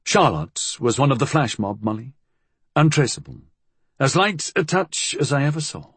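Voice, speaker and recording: A resigned gentleman crook voiced by a male narrator, pitched up from the narration and a little husky. He is clipped, measured and evasive, an audiobook character who keeps things to himself. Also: big pitch swings, no room sound, exaggerated voice. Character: weary, reserved gentleman crook, guarded and resigned.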